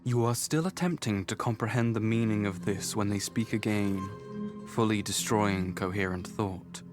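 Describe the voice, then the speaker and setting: A man performing solemn, deliberate second-person narration for a dark fantasy visual novel. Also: fairly low pitch, over music, close to an everyday voice. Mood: measured. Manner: deliberate, literary.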